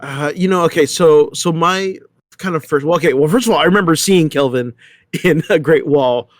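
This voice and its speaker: Middle-aged man, slightly high voice, casual panel talk, no room echo. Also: normal speed, clean studio sound. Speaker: actor and director on a discussion panel.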